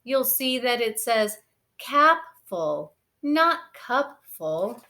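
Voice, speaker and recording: Woman as mother bear, brisk, orderly, chiding, picture-book character, animated rise and fall. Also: fairly high pitch, heavily acted. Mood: dismayed. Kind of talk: children's picture-book read-aloud.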